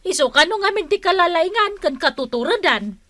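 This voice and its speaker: Lady playing needling village woman, ilocano accent, high sharp voice, dry radio drama. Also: animated, a little fast, no echo, full character voice. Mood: urgent.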